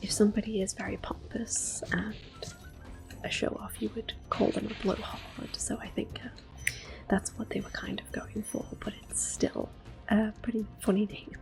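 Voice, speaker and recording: A woman hosts a relaxing gameplay video and talks through it in a soft, gentle whisper, now and then laughing quietly. Her pitch sits mid-range, and faint game music plays behind her. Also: flat delivery, moderate pace, gravelly, low-quality recording. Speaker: ASMR gameplay host. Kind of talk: whispered ASMR gameplay commentary.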